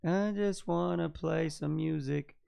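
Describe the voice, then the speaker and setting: A man hosting a livestream reaction, raspy-voiced, giving casual, slangy commentary. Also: fairly high pitch, slow pace, mellow, no room sound. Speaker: livestream host.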